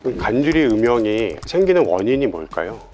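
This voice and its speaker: A man hosting a medical Q&A video, asking a colleague questions in a polite, measured way. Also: medium pitch, sing-song, darkish tone, soft background music. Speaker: host, thoracic surgeon.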